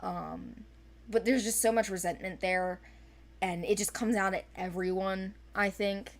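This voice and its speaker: Woman, mid-pitched voice, creator talking through characters in a loose, enthusiastic, self-correcting way. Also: fairly even intonation, unhurried. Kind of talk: casual character discussion.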